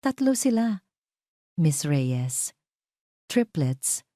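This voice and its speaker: Woman acting as a dark-voiced, attentive female doctor. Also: sits low, very animated, extremely slow, dry recording, studio quality, heavily acted. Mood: amazed. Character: female clinic doctor, professional, quietly amazed. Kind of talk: audio drama character dialogue.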